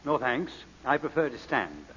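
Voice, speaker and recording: An actor playing a gallant, loyal gentleman crook in an old radio drama, husky and deadpan. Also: mid-range voice, normal speed, full character voice. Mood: dry, gallant. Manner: deadpan, mock-solemn. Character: dry, gallant gentleman crook, drily amused and loyal accomplice. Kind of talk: 1940s radio drama acting. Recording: echoey, dull old radio recording.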